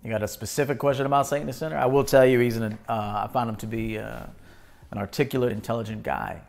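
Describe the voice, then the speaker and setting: A man in his thirties with a gravelly, mid-pitched voice hosts a live stream. He talks casually and at ease, shouting out viewers as he reads their comments. He is recorded in a small indoor studio through a webcam. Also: fairly even intonation, fast talker. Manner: laid-back, shouting out viewers by name. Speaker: host.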